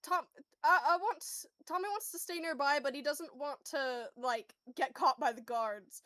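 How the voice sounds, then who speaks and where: Female tabletop player, high pitch, dry sound, halting and stammery casual roleplay. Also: pushed and bright, no room sound.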